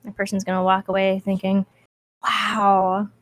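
A woman's voice: mid-range voice, completely flat delivery, a little slow, no echo, low-quality recording. Podcast co-host, woman in her twenties, casual and playfully dramatic, mid-range voice. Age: 20s. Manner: playful, mock-dramatic complaining. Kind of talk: casual chat.

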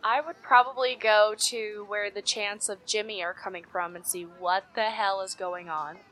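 A woman's voice: mid-range voice, steady tone, moderate pace, fairly bright tone, rough recording. A woman taking part as a player in a tabletop game, chatting casually. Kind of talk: casual chat.

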